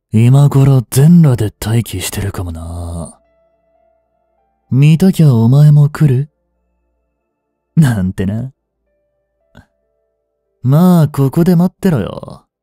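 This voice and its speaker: Brash young male delinquent played by a man for audio drama: dark, mellow tone, swinging intonation, defiant and teasing. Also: mid-range voice, big pitch swings, extremely slow, long pauses, no room sound, clean studio sound, soft background music, full character voice. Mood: teasing, smug. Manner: brash, slangy tough-guy talk, drawling. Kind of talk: Japanese audio drama acting, one-sided dialogue to the listener.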